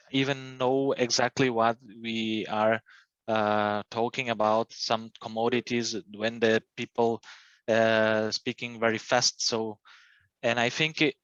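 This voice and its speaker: Slow, hesitant Brazilian man. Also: mid-range voice, a bit bright, clean tone, no echo, highs cut off. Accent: Brazilian Portuguese. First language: Portuguese. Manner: halting, hesitant, many fillers and restarts. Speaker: student. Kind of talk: class discussion.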